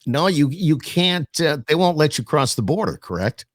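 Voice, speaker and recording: A man's voice: fairly high pitch, sing-song, normal speed, dry recording, clean studio sound. A man hosting a podcast, interviewing guests in a casual, chatty style. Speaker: podcast host.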